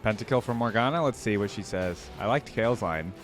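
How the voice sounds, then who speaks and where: Lively casual reaction commentator. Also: mid-range voice, fairly quick, soft background music. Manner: informal, short interjections. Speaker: YouTube reaction host.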